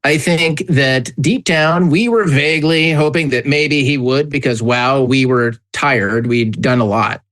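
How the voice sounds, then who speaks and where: A man co-hosting a podcast in casual chat, speaking emphatically and deliberately as he restarts phrases. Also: medium pitch, fairly bright tone. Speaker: podcast co-host.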